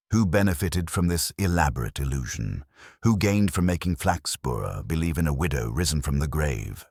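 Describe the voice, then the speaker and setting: A man narrates a detective story in a very deep voice. His delivery is deliberate and literary, yet the pitch moves in a lively way. The tone has some huskiness. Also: slightly rough, no room sound, studio quality. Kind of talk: scripted audio mystery narration.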